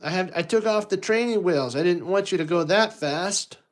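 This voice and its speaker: A man's voice: sits high, moderate pace, pitched above the performer's own voice, natural voice. A man voicing a villainous space-lord father in a storybook read-aloud, pleading and scheming with his young son. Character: stern dark-lord father, indulgent toward son.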